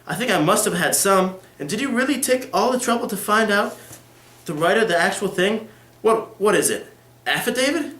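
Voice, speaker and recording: A man's voice: sits high, animated, moderate pace, fairly bright tone, voice pushed up. A man reading the part of a languid, careless, haughty aristocratic lady in a formal dramatic reading. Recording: echoey room.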